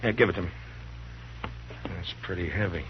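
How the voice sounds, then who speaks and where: An actor playing a calm, firm frontier marshal in a 1950s radio drama. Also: male voice, deep voice, normal speed, background noise, natural voice. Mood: steady. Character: calm, steady frontier marshal, middle-aged man. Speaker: lawman. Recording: dull lo-fi 1950s radio broadcast.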